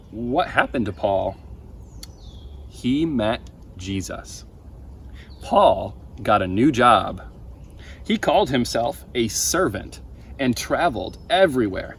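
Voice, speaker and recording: A young man reading a children's Bible story aloud in a deliberate, expressive way, with emphatic pauses. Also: mid-range voice. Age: young adult. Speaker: storybook reader for a camp story time. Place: outdoors on a wooden deck by a pond. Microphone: camera a few feet away. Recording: outdoor ambient noise.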